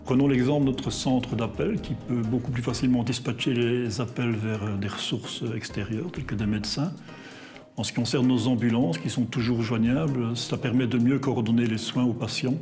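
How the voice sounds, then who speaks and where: A man's voice: mid-range voice, moderate pace, darkish tone. A man speaking as an institution's representative in a corporate testimonial. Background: background music.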